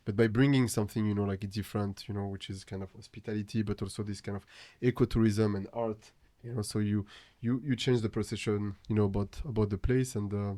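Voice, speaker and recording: A man with a French Tunisian accent talks casually as a podcast guest, his voice low and warm. He circles back and restarts often, leaning on "you know". The sound is dry and close. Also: steady tone, fairly quick, few pauses, no echo. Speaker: guest artist.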